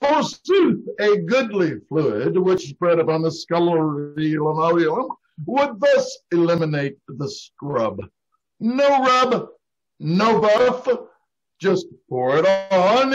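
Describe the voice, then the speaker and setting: A man's voice: high voice, exaggerated voice. A man playing a pompous, hammy announcer who declaims grandly like a Shakespearean actor in old-time radio comedy. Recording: dull online call recording.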